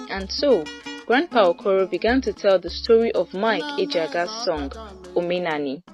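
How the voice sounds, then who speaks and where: A woman's voice: mid-range voice, highs cut off. A woman narrating a scripted folktale as a storyteller.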